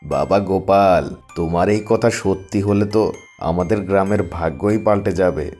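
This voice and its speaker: An actor voicing a kindly older village headman in a cartoon, speaking slowly and warmly. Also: low-pitched, faint music behind, close to an everyday voice. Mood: hopeful.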